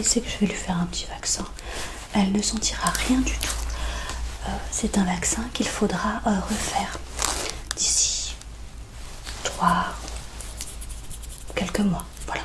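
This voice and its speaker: Female ASMR roleplayer as a vet: hushed whisper, very unhurried, gentle, reassuring, hesitant. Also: mid-range voice. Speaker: ASMR roleplayer playing a veterinarian.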